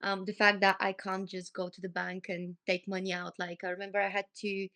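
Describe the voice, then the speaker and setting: A woman's voice: medium pitch, completely flat delivery, brisk, sharp tone. A woman from Bulgaria, a dance teacher, speaking frankly as a podcast guest. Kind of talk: casual podcast conversation.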